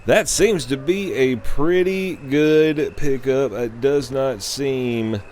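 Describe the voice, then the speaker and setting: Slow-talking male podcast host. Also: medium pitch, a little mellow, a little hiss or hum. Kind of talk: casual solo podcast talk.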